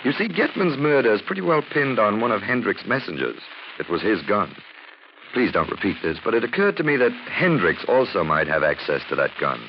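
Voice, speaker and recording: A pompous, suave gentleman sleuth in an old radio mystery. He speaks in a low voice with big swoops of intonation, choosing flowery words and gently teasing. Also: sing-song, a little fast. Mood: polite, probing. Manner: pompous, ornate, politely teasing. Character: urbane, flippant amateur detective, a gentleman sleuth. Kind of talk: 1940s radio drama acting. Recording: muffled, hissy 1940s radio recording.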